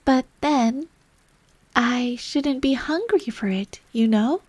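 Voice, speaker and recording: A woman's voice: sits high, slow, darkish tone, husky, no room sound, pitched above the performer's own voice, exaggerated voice. A woman voicing a polite, earnest, curious young girl in a storybook. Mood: thoughtful.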